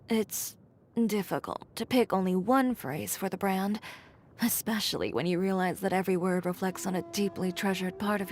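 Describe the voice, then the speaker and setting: A woman's voice: medium pitch, close to an everyday voice. A woman voicing a blunt, haughty young fashion designer in a video game, speaking in a curt, dry and self-assured way. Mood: reflective, resolute. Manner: curt, dry, matter-of-fact, coolly condescending. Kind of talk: scripted game character voice lines.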